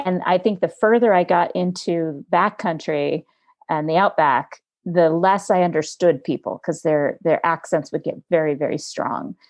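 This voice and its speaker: A woman with a lowish, mellow voice hosts an interview podcast. She talks in an easy conversational way and searches for her words with small fillers. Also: moderate pace. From United States. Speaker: podcast host. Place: home studio in Montana.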